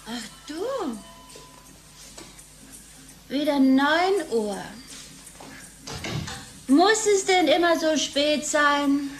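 An actress in a German radio play playing a bored, bitter, hard-drinking wife, sardonic and languid. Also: female voice, high-pitched, slow pace, faint music behind. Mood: weary, bored.

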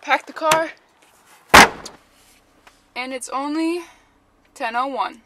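A woman's voice: sits high, slow pace. A young woman vlogging in casual chat, talking to the camera and to her pets on a handheld camera. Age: young adult. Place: outdoors at stables, in a car and outside. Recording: noisy outdoor and car camera audio.

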